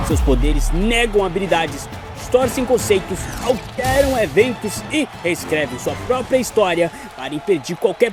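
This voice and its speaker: A man voicing a brash, bossy young anime hero with a Brazilian accent, debating theatrically in a scripted animated style. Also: sits high. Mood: grand, theatrical.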